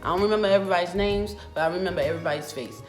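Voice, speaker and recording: A woman in her twenties with an American accent tells a personal story in a casual, animated, emphatic and sassy vlog style. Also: lowish voice, racing. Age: 20s. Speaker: vlogger. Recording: roomy echo with music behind.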